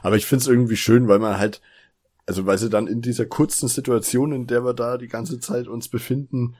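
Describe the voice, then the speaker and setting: A man's voice: medium pitch, steady tone, fairly quick, darkish tone, slightly rough. A man co-hosting a podcast, enthusiastically retelling an audio drama in casual conversation and quoting the characters as he goes. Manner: enthusiastic, quotes characters' lines while retelling. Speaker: podcast co-host.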